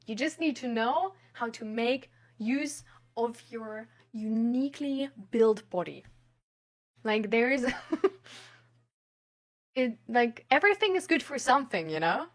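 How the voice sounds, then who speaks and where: A woman's voice: on the high side, lively intonation, measured pace, pauses often. A woman live streamer chatting casually with her viewers in a rambling, giggly way with hesitant phrasing. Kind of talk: casual chat with viewers, reading out their messages.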